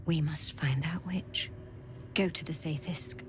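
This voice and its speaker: A woman's voice: low voice, fairly even intonation, measured pace, noisy background. A woman voicing a cold, haughty alien doctor in a fantasy game, dry, precise and condescending. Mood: coolly fascinated.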